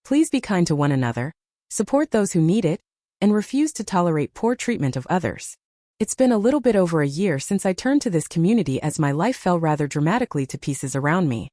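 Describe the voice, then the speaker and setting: A woman's voice: on the low side, very animated, a little fast, mellow, dry recording, studio quality. A woman narrating a reddit story aloud, reading out a long numbered list and lifting her voice on each number.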